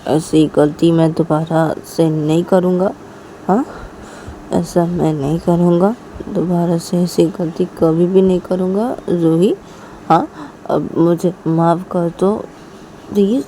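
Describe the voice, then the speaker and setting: A woman voicing a clingy young lover who keeps begging and apologizing in a drawn-out way. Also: on the low side, fairly even intonation, dark tone, a little hiss or hum. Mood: pleading.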